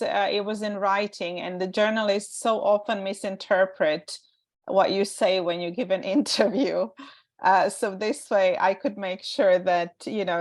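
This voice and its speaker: A woman with a Croatian accent, a native Croatian speaker, moderating an online webinar informally and rephrasing as she goes. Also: mid-range voice.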